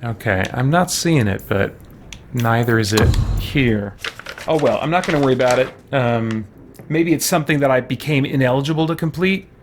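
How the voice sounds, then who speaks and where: A man's voice: medium pitch, moderate pace, a little hiss or hum. A man hosting a video game playthrough, musing and thinking aloud in casual commentary as if talking to himself. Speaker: video game let's player, host.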